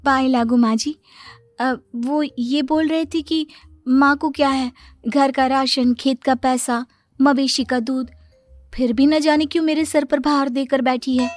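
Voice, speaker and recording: A woman's voice: sits high, steady tone, a little slow, no echo, faint music behind. A woman voicing an innocent, polite young daughter-in-law in a story, speaking matter-of-factly. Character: meek, guileless young daughter-in-law who always blurts the truth.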